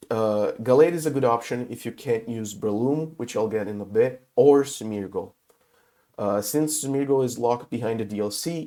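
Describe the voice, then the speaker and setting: A man who is sick with a cold, talking through a casual game tutorial in English, his second language, with many pauses and filler sounds. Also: mid-range voice, mellow. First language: not English. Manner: halting, many filler uhs. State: has a cold. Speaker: gaming video host.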